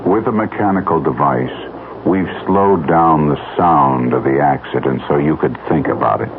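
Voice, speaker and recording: Very deep, grave American announcer. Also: male voice. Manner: grave, deliberate. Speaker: public service announcer. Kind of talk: vintage scripted radio safety announcement. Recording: tinny vintage radio, loud noise behind.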